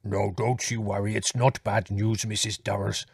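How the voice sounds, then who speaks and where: A big, eager-to-please Greek man, with a low, husky rumble. His English is broken and heavily accented, with extra endings on his words. The recording is close and dry. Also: flat delivery, moderate pace, no room sound. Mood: reassuring. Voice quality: gravelly, rumbling.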